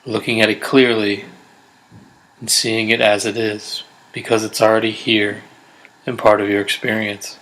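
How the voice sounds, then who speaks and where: A man guiding a body scan meditation in a gentle, unhurried, measured way, leaving pauses between instructions. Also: lowish voice, little pitch movement, poor audio. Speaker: meditation guide. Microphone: close USB condenser microphone.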